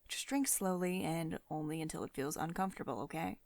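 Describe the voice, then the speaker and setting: A woman's voice: low voice, fairly quick, vocal fry, darkish tone, no echo. A woman playing a commanding, protective tech CEO who is secretly devoted and tender, in an intimate ASMR roleplay monologue. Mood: pleased, gently bossy.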